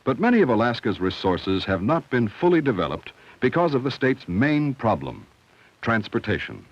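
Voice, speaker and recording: A man reading scripted narration for a 1970 educational film in a measured, instructional, clearly enunciated way. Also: medium pitch. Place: studio voice-over. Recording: dull, dry vintage film soundtrack.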